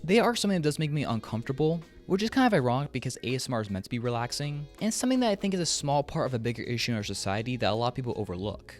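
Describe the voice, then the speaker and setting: A man's voice: medium pitch, big pitch swings, very fast, hardly any pauses, music in the background. A man hosting a scripted video essay as a commentator, with a sarcastic, joking manner and casual swearing. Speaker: YouTube commentator and host.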